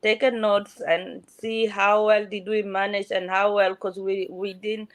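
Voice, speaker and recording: A woman's voice: mid-range voice, little pitch movement, measured pace, dry recording. A woman from South Sudan introducing herself on a video call, speaking in a reassuring way that circles back and restarts often. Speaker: guest mentor. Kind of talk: motivational self-introduction.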